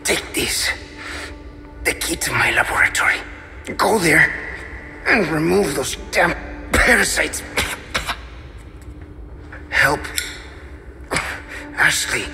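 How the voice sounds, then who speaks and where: Dying Spanish rogue, Spanish accent, wry and wistful, slightly high voice, music behind, game cutscene. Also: male voice, animated, creaky, sharp tone, heavily acted. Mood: weak, urgent. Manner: slow, wry, halting. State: weak, dying. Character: wounded charming roguish Spanish man, wistful and flirtatious. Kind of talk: video game cutscene acting.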